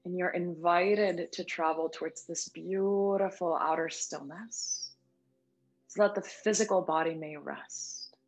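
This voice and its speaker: Low-pitched woman guiding meditation over music. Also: fairly even intonation, rough recording. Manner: gentle, soothing, unhurried with long pauses. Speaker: yoga nidra meditation instructor. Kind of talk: guided meditation. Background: background music.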